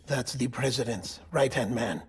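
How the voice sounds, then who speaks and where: A man playing an earnest, apologetic middle-aged government doctor in a cinematic video game, speaking in a hesitant, polite, deliberate way. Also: medium pitch, slightly rough. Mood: apologetic, solemn.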